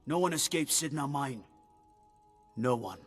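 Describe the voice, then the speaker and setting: An actor playing a smug, threatening, corrupt city guard in a fantasy game. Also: male voice, medium pitch, lively intonation, lightly acted. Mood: menacing, gloating. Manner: menacing, drawn-out delivery. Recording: long reverb, music underneath.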